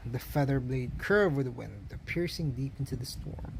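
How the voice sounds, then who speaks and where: A man's voice: medium pitch, normal speed, gravelly, noisy background. A man reading a story aloud as a dramatic, emphatic narration. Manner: theatrical, emphatic storytelling delivery.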